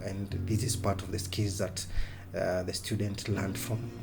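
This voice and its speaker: A Kenyan man explains as a mentor in an interview. His voice is fairly low and very even in pitch, with loud music behind it. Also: a little slow, creaky.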